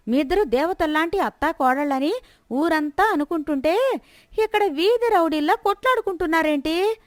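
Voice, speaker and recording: A woman plays a gossipy village neighbour in a storybook tale, scandalized and reproachful. She exclaims in a very high, loud voice, recorded dry. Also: no room sound. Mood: shocked.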